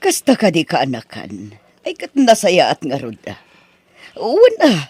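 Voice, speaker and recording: A performer playing a generous older aunt who speaks gently and reassuringly. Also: medium pitch, wildly swooping pitch, measured pace, slightly rough, dry recording, exaggerated voice. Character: kind older aunt, generous and warm-hearted. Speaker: aunt figure. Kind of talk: radio drama acting.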